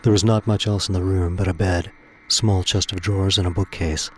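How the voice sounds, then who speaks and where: A man's voice: deep voice, steady tone, fairly quick, slight background noise. A man reading scripted dramatic narration for an audio drama. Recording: music under the voice. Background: music.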